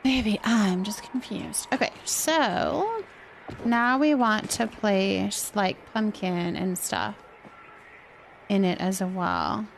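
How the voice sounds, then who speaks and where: A woman chatting casually on a livestream as host, musing indecisively out loud while she decorates. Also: mid-range voice, very animated, slow, creaky, a little hiss or hum.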